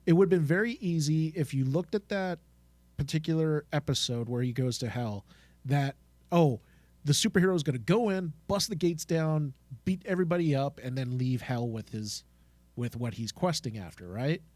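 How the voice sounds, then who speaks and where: Higher-voiced man in casual podcast chat. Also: moderate pace, darkish tone, no echo. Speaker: podcast co-host. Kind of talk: casual fan podcast discussion.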